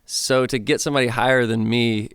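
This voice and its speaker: A man's voice: mid-range voice, fairly even intonation, brisk, a bit bright, clean tone, no echo. A man in his thirties, a musician guest in a radio studio, talking casually with many fillers and loose restarts. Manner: loose, filler-heavy talk with lots of "like". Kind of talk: casual interview chat.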